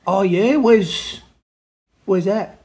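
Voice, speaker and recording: A man's voice: sits high, extremely slow, darkish tone, full character voice. A middle-aged man playing an eager, bumbling prank caller who fumbles his English, hesitating and repeating himself. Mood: muddled. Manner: hesitant, repetitive, muddled word-searching. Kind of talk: comic prank phone call. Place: home kitchen, indoors. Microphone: camera close to face, phone on speaker.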